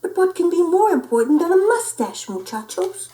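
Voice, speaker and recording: A woman's voice: very high-pitched, very animated, moderate pace, dark tone, reverberant, recorded from a distance, pitched above the performer's own voice. A woman voicing a brave little male cat who poses as a chihuahua in a storybook, with dramatic, Spanish-sprinkled talk. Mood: puzzled.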